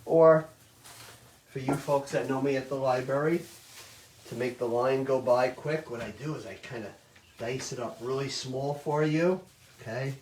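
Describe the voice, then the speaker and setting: A middle-aged man teaching a live online baking class, talking loudly and chattily and checking in with "okay?" all the time. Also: medium pitch, moderate pace, a little hiss or hum. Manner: loud, chatty, says "okay?" often. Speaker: cooking instructor. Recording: roomy kitchen sound, mic at a distance.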